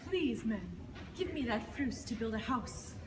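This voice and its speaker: A man voicing a small, plucky storybook pig in a light, earnest falsetto. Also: expressive, a little slow, distant mic. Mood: polite request. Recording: noisy, echoey hall recording.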